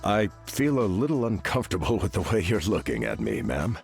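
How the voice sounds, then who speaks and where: A man's voice: sits low, huge pitch swings, fairly quick, vocal fry, soft background music, heavily acted. A man playing an uneasy, polite hero in a video game. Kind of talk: video game character dialogue.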